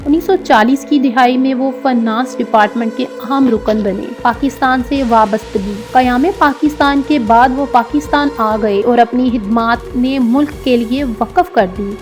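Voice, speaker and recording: A woman narrates a documentary in a fairly high voice. Her delivery is formal and measured, clearly read from a script, and soft music plays underneath. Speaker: documentary narrator and channel presenter.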